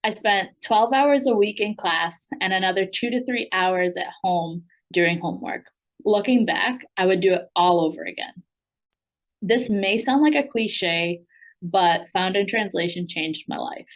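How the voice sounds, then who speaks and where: An American woman, a program alumna and language coach, reading a prepared speech in an earnest, steady way. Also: mid-range voice, measured pace, low-quality recording. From United States.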